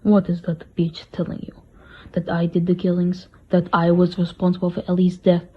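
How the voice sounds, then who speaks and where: Russian butler for a radio drama, played by a woman: low, husky, aggrieved and emphatic, on a muffled recording. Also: completely flat delivery, normal speed. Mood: defensive, grieving. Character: hot-tempered, grieving Russian male butler. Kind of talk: amateur radio play acting.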